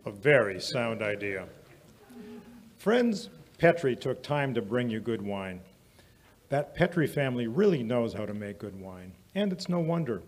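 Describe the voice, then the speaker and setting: Casting: actor as hearty 1940s radio pitchman, mid-range husky voice, eager and folksy. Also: very animated, unhurried. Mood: curious, impressed. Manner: hearty, salesmanlike. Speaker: announcer. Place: small indoor museum studio stage. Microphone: vintage-style stand microphone.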